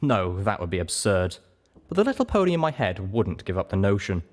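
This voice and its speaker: A man reading a story aloud as expressive, scripted first-person narration. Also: low-pitched, brisk. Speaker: audiobook reader.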